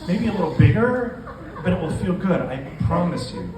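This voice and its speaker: A man's voice: high voice, very animated, normal speed, voice pushed up. A man reading the lines of an eager, coaxing young woman lover from a story. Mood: eager, bossy. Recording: echoey, noisy venue, distant microphone.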